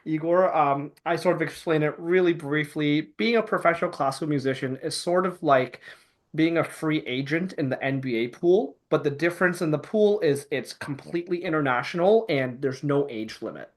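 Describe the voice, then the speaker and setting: Higher-pitched Canadian man chatting casually. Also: moderate pace. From Canada, Toronto area, born in Hong Kong. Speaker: podcast guest, audiologist. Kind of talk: casual chat.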